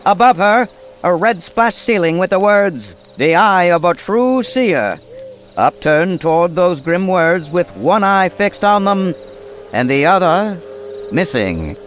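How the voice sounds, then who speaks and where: Grim, high-pitched radio narrator. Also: male voice, expressive, takes it slowly, a bit bright, slight background noise, full character voice. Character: grim male noir storyteller, dramatic and ominous. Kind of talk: scripted old-time radio narration.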